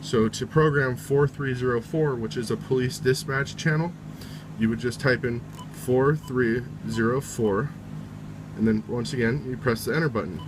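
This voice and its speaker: A man's voice: mid-range voice, moderate pace, background noise. A man giving a casual, step-by-step how-to in an informal way, often reiterating each step. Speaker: tutorial presenter.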